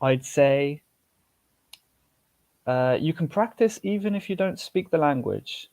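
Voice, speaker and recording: A man's voice: fairly high pitch, lively intonation, slow, a little mellow, no echo. A young British man chatting on a podcast about language learning, rambling with fillers and restarts. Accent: British English. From United Kingdom. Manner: rambling, many fillers and restarts, laughs easily. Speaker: podcast guest, polyglot. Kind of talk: casual podcast chat.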